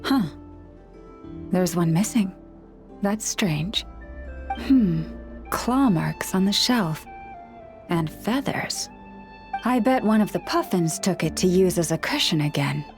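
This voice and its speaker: An actress playing a gentle, caring woman who looks after forest animals, speaking in a soothing, measured way in a video game. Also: fairly low pitch, animated, soft background music. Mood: musing, mildly exasperated. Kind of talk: video game character dialogue.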